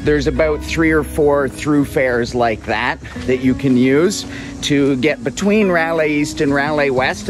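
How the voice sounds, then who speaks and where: Man in his forties, chatty travel vlogger, slightly high voice, outdoors on a beach, clear music underneath. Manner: upbeat, chatty, repeats words. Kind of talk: walking tour vlog. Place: outdoors on a tropical beach and boardwalk. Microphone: selfie camera at arm's length.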